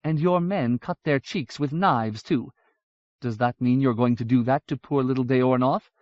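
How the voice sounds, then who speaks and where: A man's voice: mid-range voice, normal speed, no echo, lightly acted. A man voicing a stern, worried older noblewoman who speaks in a cross, fretful way. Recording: dull lo-fi audiobook recording.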